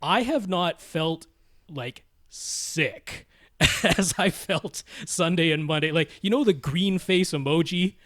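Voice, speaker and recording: A young man co-hosting a casual podcast, raspy as he recovers from illness, dry and matter-of-fact. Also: a little high, slow pace, a bit bright, no echo. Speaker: podcast co-host. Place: small home studio room. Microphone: studio mic on a boom.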